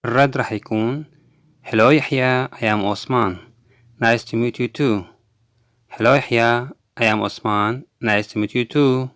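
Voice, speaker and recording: A man teaching a language lesson, repeating each example phrase twice slowly and explaining quickly in between. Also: mid-range voice, steady tone, fairly bright tone, no echo, studio quality. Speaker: English teacher.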